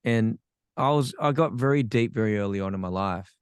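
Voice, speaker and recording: A man's voice: fairly low pitch, fairly quick, smooth, no room sound, clean studio sound. An Australian man, a podcast guest, telling his life story frankly with self-deprecating humor. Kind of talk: candid personal storytelling.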